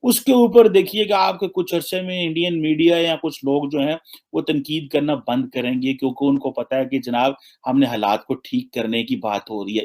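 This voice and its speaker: Creaky-voiced Pakistani male analyst. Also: on the high side. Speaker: political analyst. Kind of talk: analytical discussion mixing in English phrases.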